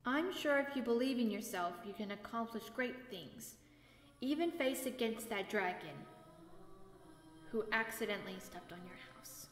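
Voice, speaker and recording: A woman's voice: fairly high pitch, expressive, moderate pace, natural voice. A woman playing a determined, proud young female pony in an amateur fantasy audio drama, with an earnest, casual teenage delivery. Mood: encouraging. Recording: echoey reverberant room.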